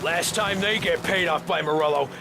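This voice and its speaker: A man playing a tough, brisk mobster giving orders in a noisy video game battle. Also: a little high, creaky. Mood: urgent. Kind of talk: video game cinematic acting. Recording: noisy gunfire and engine sounds.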